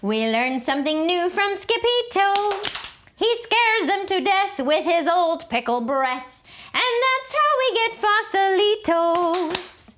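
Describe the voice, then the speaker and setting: A woman's voice: very high voice, expressive, takes it slowly, a bit bright, a little hiss or hum, pitched above the performer's own voice, full character voice. A woman voicing a chorus of cheerful little male chihuahuas, chanting in a sing-song rhythm. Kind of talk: storybook read-aloud.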